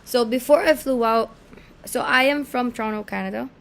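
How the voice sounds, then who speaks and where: A young woman in her twenties, from the Philippines, rambles to camera about her life. She is self-mocking and easily sidetracked, with a gravelly fry in her voice. A faint hum of room noise sits behind her. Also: fairly high pitch, moderate pace. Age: 20s. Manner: chatty, distractible, self-mocking, filler-heavy. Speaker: vlogger. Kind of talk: casual rambling chat to camera.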